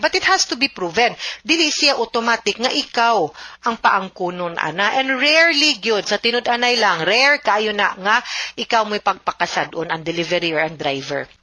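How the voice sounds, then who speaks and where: A woman answers listener questions as a legal advisor, explaining step by step. Her voice sits fairly high and sounds sharp and forceful. The recording is dry, with no room sound. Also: dry recording. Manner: explanatory, often checks understanding with a tagged "no?". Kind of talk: radio advice segment.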